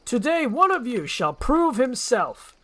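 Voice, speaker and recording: A woman's voice: fairly high pitch, big pitch swings, a little slow, voice pushed up. A woman voicing a pompous, scheming evil lord who gives commanding speeches in a storybook roleplay. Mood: grandiose.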